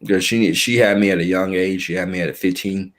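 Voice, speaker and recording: Halting young man, lowish voice. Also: steady tone. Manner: halting, with frequent restarts and fillers. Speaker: guest. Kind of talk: casual conversational interview.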